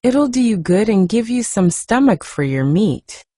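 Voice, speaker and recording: A woman's voice: mid-range voice, sing-song, a little slow, mellow, dry recording, clean studio sound. A woman voicing a good-tempered, outspoken young Yorkshire housemaid in a storybook reading, chatty and homely. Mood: brisk, kindly.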